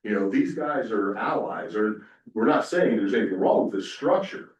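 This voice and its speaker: A man's voice: fairly low pitch, animated, normal speed, dull audio. A man giving a casual conference presentation, talking in a folksy way with lots of fillers. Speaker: conference panelist. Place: conference room. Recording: echoey room, speaker far from mic.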